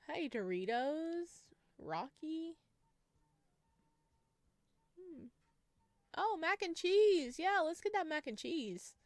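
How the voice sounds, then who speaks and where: A woman reading a visual novel game aloud in a casual style, breaking into playful, expressive reactions. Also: on the high side, no echo.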